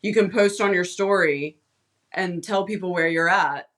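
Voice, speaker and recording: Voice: mid-range voice, fairly bright tone. A band member explaining a song in casual interview talk, hesitant and full of "um" and "like.". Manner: slow, hesitant, many fillers.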